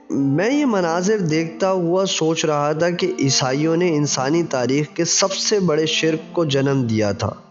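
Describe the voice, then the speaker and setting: A man reading a religious novel as scripted narration, with a formal literary delivery. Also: fairly high pitch. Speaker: audiobook narrator. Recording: dull lo-fi recording with music behind. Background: background music.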